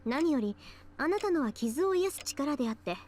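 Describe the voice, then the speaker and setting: Brisk high-voiced anime schoolgirl. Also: animated, crowd noise. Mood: explaining. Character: brisk, sharp-tongued teenage schoolgirl mage. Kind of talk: Japanese visual novel voice acting.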